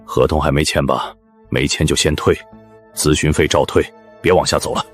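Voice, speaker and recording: A man playing a demanding, dismissive middle-aged senior lawyer in an animated drama, curt and bossy. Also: very deep voice, moderate pace, faint music behind.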